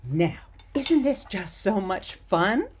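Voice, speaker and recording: A woman's voice: mid-range voice, very animated, slow, slight background noise. A woman playing a sweet, doting elderly grandmother in a radio play, with a gushing, soothing manner. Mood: cheerful, soothing.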